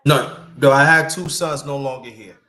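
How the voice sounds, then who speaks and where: A man in his fifties from New York, hosting a casual live-stream chat and giving loud shout-outs to viewers. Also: medium pitch, fairly even intonation, moderate pace. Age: 50s. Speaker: livestream host.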